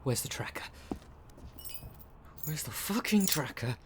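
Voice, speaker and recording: A man's voice: fairly high pitch, big pitch swings, unhurried, background noise, voice pushed up. A man playing a tense, menacing poacher in an audio roleplay, threatening and swearing with urgency. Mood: angry. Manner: threatening, gruffly urgent, cursing. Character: tense, menacing, foul-mouthed male poacher.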